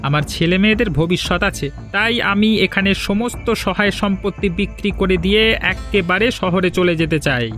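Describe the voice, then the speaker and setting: Pushy, high-pitched greedy grown son, a man acting. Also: fairly quick, hardly any pauses, a bit bright. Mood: demanding, scornful. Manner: pushy, scornful. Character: greedy, arrogant educated adult son, impatient, scornful. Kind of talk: Bengali cartoon dialogue. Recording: background music under dialogue.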